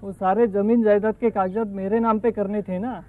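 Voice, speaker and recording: Actor as high-pitched, simple village man. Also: fairly even intonation, normal speed, lightly acted. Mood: genial, sheepish. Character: good-natured unlettered village man, simple and matter-of-fact. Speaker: actor in an educational training film. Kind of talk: acted film dialogue. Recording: muffled, lo-fi film soundtrack.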